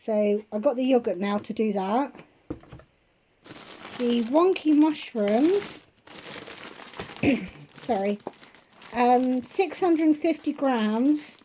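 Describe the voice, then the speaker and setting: A woman vlogger at home, chatting casually through a shopping haul and listing prices, her voice going hoarse. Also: a little high, animated, dark tone, a little hiss or hum. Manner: chatty, unhurried, itemizing prices.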